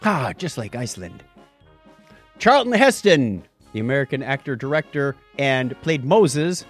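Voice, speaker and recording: A man's voice: medium pitch, big pitch swings, unhurried, breathy edge, soft background music. A man hosting a podcast in a casual, chatty style, bantering and joking as he goes. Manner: jokey, chatty. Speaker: podcast host. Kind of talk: casual news and birthdays banter.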